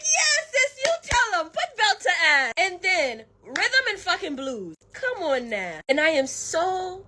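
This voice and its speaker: Young woman from haiti, frank vlogger, swears freely, animated, fairly high voice. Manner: candid, profane, animated, direct to viewers. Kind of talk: casual chat and storytelling.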